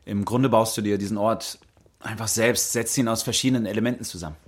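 An actor playing a gentle, whimsical, bookish young man in intimate radio play dialogue. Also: fairly low pitch, fairly even intonation, quick pace, natural voice. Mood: gentle, earnest.

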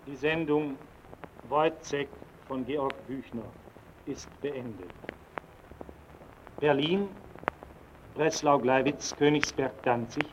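A man making a formal radio broadcast announcement in a measured manner. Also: medium pitch, steady tone, a little hiss or hum. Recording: dull old radio broadcast recording.

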